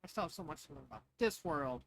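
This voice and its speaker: Man reading as an excitable, easily amazed young girl. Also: fairly high pitch, fast, a little hiss or hum. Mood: warm, eager. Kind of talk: amateur fan fiction reading.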